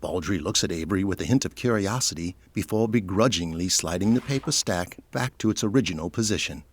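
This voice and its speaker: Casting: male narrator for audio drama, deep voice, scripted scene-setting read. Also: expressive, a little fast.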